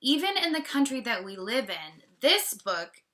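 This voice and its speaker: A woman's voice: fairly high pitch, big pitch swings, a little slow, bright, forceful tone. A woman from Canada reading a picture book aloud as a teacher and explaining it simply to children.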